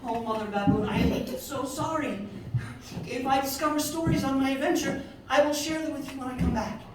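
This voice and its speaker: A woman's voice: high voice, brisk, heavy background noise, voice pushed up, natural voice. A woman voicing a polite, earnest young female elephant who pleads eagerly. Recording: echoey room, mic far off.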